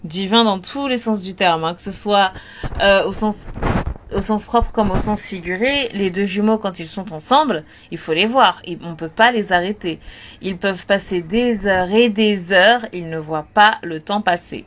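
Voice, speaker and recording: A woman talking earnestly and directly to her listeners, repeating words and pausing on fillers as she gives spiritual advice. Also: mid-range voice. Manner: earnest, repetitive, lots of fillers. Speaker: spiritual advice content creator. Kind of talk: casual talk.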